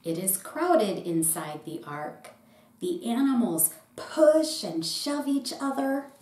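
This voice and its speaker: A middle-aged woman, a pastor, reading a children's picture book aloud in short, deliberate phrases. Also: fairly high pitch, very animated, mellow. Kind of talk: storybook read-aloud for children. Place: small indoor room with bookshelf. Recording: roomy echo with faint background noise.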